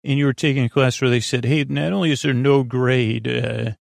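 A man hosting a bedtime podcast in a meandering, hesitant, reassuring monologue, with a creaky voice. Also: steady tone, fairly quick, no echo, clean studio sound. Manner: low-pressure, reassuring. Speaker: podcast host.

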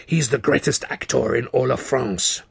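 A man's voice: medium pitch, steady tone, normal speed, creaky, breathy edge, no echo, full character voice. Man playing a suave, nonchalant Parisian fixer. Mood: confiding. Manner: confiding, nonchalant. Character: suave, knowing Frenchman, worldly Parisian fixer.

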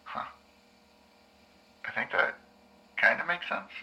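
A man playing a troubled, unsure therapy patient in an audio drama, talking haltingly. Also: pushed and bright, lo-fi sound. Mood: hesitant, doubtful. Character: troubled, hesitant male therapy patient with lost memories. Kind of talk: scripted audio drama dialogue.

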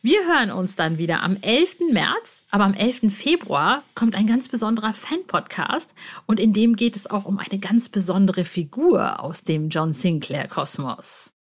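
A woman's voice: mid-range voice, animated, moderate pace. A woman hosting a podcast in an informal, chatty and effusive way, with casual announcements. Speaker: podcast host.

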